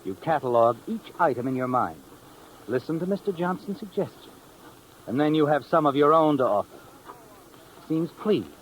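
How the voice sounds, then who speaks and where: An actor playing the ominous, all-knowing narrator of a 1950s radio crime tale, dramatic and insinuating. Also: male voice, on the high side, big pitch swings, normal speed, pauses often, slight background noise, low-quality recording. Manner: insinuating, dramatic, with leading rhetorical questions. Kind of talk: 1950s radio suspense narration in second person. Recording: old radio recording with music underneath.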